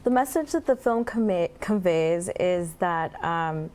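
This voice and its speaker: A woman film producer speaks as a guest on a TV studio interview. Her voice is a little low, and she answers earnestly, pausing on "um"s. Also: animated, a little mellow. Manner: earnest, with filler ums.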